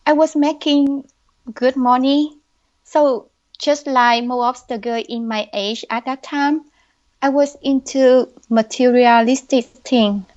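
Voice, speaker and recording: Young woman with a Vietnamese accent, high and slow, on dull lo-fi audio; podcast guest. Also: fairly even intonation, a little mellow. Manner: slow, careful, earnest speech. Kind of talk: podcast interview answers.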